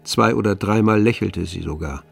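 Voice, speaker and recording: A man narrating a literary radio drama in a measured, grave manner. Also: fairly low pitch, vocal fry, faint music behind.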